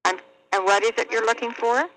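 A woman's voice: on the high side, steady tone, brisk, a bit bright, slight background noise, low-quality recording. A woman answering a retail store's phone in a brief, businesslike way. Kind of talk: customer service phone call.

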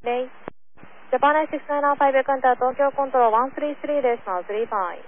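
High-pitched woman controller on tinny radio. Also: moderate pace. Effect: aviation radio transmission. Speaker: air traffic controller. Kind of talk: clipped radio phraseology. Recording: tinny, narrowband, noisy radio channel.

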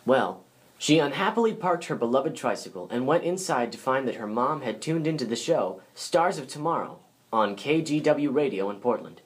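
American man narrating, mid-pitched. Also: animated, normal speed. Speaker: presenter, grandson of the subject. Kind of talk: scripted biographical narration.

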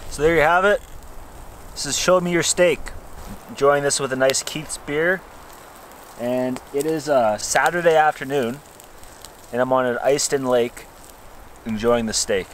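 A man's voice: medium pitch, unhurried, long pauses, fairly bright tone, poor audio. A young man bantering to camera while cooking over a campfire in snowy woods, recorded outdoors. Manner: joking, laid-back banter to camera. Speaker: outdoor vlogger. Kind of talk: casual camp cooking vlog. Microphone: camera a few feet away. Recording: outdoor recording with clear background noise.

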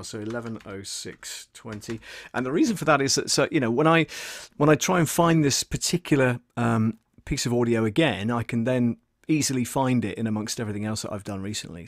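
A man's voice: medium pitch, a little fast, no room sound. Man, chatty, close dry sound. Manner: informal, chatty. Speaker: audio producer and live-stream host. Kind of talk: casual tutorial chat to viewers. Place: small soundproofed home studio in a converted shed. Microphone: desk condenser microphone, close.

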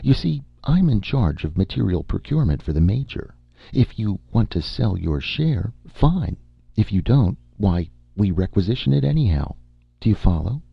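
A cool, unflappable young woman, as a male narrator puts her on, coaxing and pushy in a husky, gravelly voice. Her pitch rides lively and high above his own. The sound is dull and lo-fi. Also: moderate pace, no room sound, heavily acted. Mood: cool, persuasive. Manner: calm, coaxing, sly. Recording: dull lo-fi recording.

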